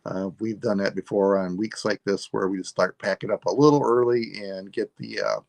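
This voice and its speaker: A man of sixty-five, hosting a live sale and signing off in a relaxed, rambling way while losing his voice. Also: fairly low pitch, normal speed, creaky, no echo. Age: 60s. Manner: relaxed, rambling, self-deprecating. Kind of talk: casual live stream sign-off.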